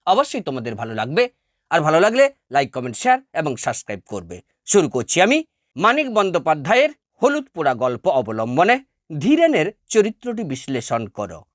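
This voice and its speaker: A woman's voice: sits low, huge pitch swings, fairly quick, dry recording, clean studio sound. A woman teacher reading a scripted lesson for school students in a formal, emphatic, carefully phrased way. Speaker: teacher presenting exam answers to twelfth-grade students. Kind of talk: scripted educational narration, read-out essay.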